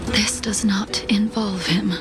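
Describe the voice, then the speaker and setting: A woman playing a solemn, ageless fallen swordswoman in a video game, measured and formal, with a breathy, creaky, cold and resigned delivery. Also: medium pitch, steady tone, natural voice. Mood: composed, probing. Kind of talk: dramatic video game voice acting. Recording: background music under the voice.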